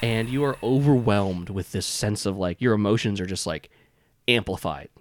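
A man's voice: on the low side, normal speed, a little hiss or hum. A man acting as game master, narrating a tabletop game in an informal, improvised way with frequent chuckles. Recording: music playing behind the voice. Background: music.

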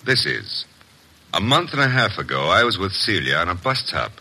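A cold, curt husband in a 1950s radio mystery. His voice is deep and hard-edged, yet it moves widely in pitch as he haughtily brushes questions aside. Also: big pitch swings, moderate pace, sharp tone, slightly rough, heavily acted. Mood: haughty, defiant. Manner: clipped, haughty, dismissive. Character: cold, curt, guarded husband under suspicion. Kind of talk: 1950s radio detective acting.

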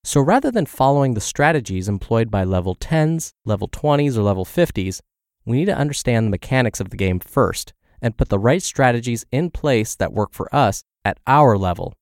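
A man's voice: mid-range voice, a little mellow, dry recording, studio quality. A man hosting a podcast, reading health and fitness blog posts aloud while congested and scratchy from the flu. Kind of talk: read-aloud narration.

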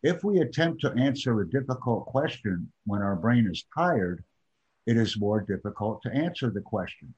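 A man teaching an IELTS preparation class in a measured, emphatic way. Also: lowish voice, sing-song, a little mellow, husky, dull audio. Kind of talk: classroom instruction.

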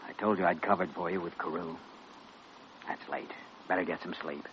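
Earnest, low-voiced doctor, old radio. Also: male voice, steady tone, fairly bright tone. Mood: stern, concerned. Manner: measured, firm, sincere. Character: earnest, principled young male doctor, steady and firm. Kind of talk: old-time radio drama acting. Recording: muffled, noisy vintage radio recording.

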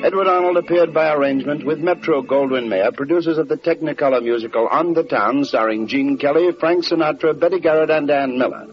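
American man, vintage radio credits read, formal, raised pitch, muffled transcription, loud music underneath. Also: a little fast, few pauses. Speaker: radio announcer reading credits. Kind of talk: 1940s radio announcing.

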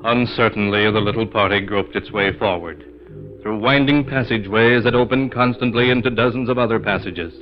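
Music plays clearly under an actor as a grave male storyteller. His lowish voice is ominous and deliberate, heard on a dull vintage radio broadcast. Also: lightly acted. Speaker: narrator. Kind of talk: scripted 1940s radio narration.

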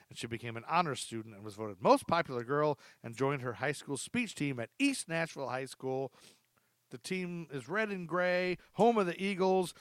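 A man's voice: a little high, no echo. Man hosting a podcast, close dry sound. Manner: informal, interjects joking asides. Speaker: podcast host. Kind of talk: true crime storytelling, part read from notes, part casual chat.

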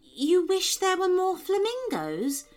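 A woman voicing a gentle magical female unicorn in a children's story, mild and questioning. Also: very high-pitched, wildly swooping pitch, slow, faint music behind, pitched above the performer's own voice, heavily acted. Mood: unsure.

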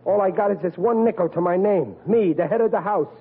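A man's voice: high-pitched, brisk, breathy edge. An actor playing a blustering, stubborn working-class father in a 1940s radio sitcom, with emphatic, ungrammatical talk. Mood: exasperated. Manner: emphatic, ungrammatical, exasperated blue-collar talk. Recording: tinny, noisy vintage radio recording.

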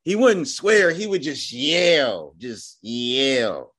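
A man in his thirties tells a story as a guest on a podcast. His pitch swings widely as he talks, with a laid-back but animated delivery. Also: very slow, husky. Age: 30s. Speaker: guest, host's big brother. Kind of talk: casual podcast chat.

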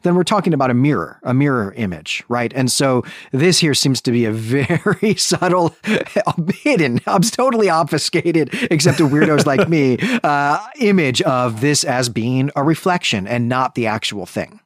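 A man's voice: mid-range voice, lively intonation, normal speed, no room sound, studio quality. A man co-hosting a podcast, giving a conversational literary recap in a chatty, emphatic way, often checking in with the listener. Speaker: podcast co-host.

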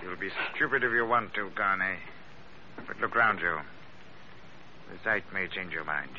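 An actor playing a cold, commanding villain sea captain in an old-time radio adventure, giving threatening clipped orders with mocking politeness. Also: male voice, low-pitched, normal speed, natural voice. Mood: cold menace. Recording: muffled, hissy vintage radio recording.

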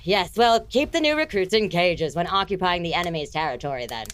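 A woman's voice: fairly low pitch, lively intonation, a little fast, lightly acted. A woman voicing an imperious, impatient evil empress in a comic fantasy audio drama, haughty, exasperated and scornful.

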